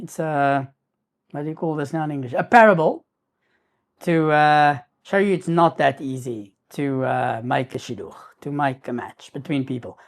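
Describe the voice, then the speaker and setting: Man telling a rambling story. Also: fairly high pitch. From South Africa. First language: Afrikaans. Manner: rambling, restarts, sprinkles in Hebrew terms. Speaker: YouTuber. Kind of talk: casual storytelling to camera.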